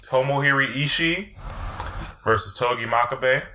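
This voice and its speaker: Bright-voiced man, profane and eager. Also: medium pitch, normal speed, muffled recording, slight background noise. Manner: profane, enthusiastic play-by-play recounting. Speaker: podcast co-host. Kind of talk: casual chat recapping wrestling.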